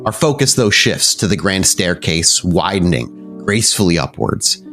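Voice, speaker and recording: A man's voice: deep voice, animated, normal speed, a bit bright, soft background music. A man working as game master, improvising descriptive, cinematic narration for a tabletop game and feeling out his words aloud.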